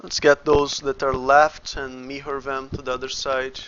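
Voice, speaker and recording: A man's voice: medium pitch, little pitch movement, normal speed, a bit bright, muffled recording, slight background noise. A Brazilian man with a Brazilian Portuguese accent, a game developer casually talking through his work on a livestream and muttering each step to himself. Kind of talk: casual livestream, thinking aloud while working.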